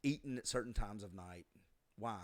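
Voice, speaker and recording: A man's voice: fairly low pitch, moderate pace. A middle-aged man on a podcast, sharing life lessons in a reflective, conversational way. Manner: reflective, advice-giving, conversational. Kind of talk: casual podcast chat.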